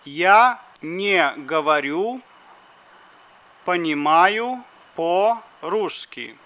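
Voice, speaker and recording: Slow, crisp man reading drill phrases. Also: sits high, expressive, sharp tone. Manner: deliberate, carefully articulated phrases. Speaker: language lesson speaker.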